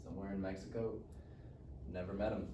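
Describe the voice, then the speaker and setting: A man playing a wry, tough-talking teenage boy with deadpan retorts in a live table read. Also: low-pitched, a little fast, close to an everyday voice. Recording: echoey room, distant mic, noisy.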